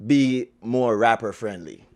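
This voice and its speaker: A man's voice: medium pitch, slow pace. A man from Jamaica with a Jamaican accent, a guest chatting casually in an interview with slangy, laid-back talk. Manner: slangy, laid-back, leans on "you feel me". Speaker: guest, media platform owner.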